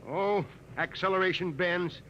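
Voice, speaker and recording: A man's voice: sits high, unhurried. A man acting an eager, pleading American veteran radio man in a folksy, mid-century space radio drama. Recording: dull, noisy vintage radio recording.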